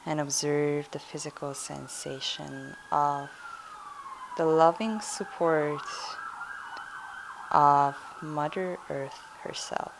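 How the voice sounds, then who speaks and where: A woman leading a guided yoga nidra meditation as a teacher, in a soothing, hushed, unhurried manner. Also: low voice, vocal fry, poor audio. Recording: steady hiss.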